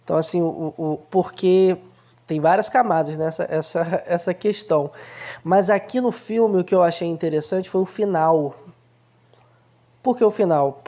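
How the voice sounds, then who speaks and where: A man hosts an unhurried film analysis, speaking in a warm, mellow voice. He explains ideas conversationally and often acts out small everyday examples to make his point. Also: high-pitched. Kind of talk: casual explanatory film commentary.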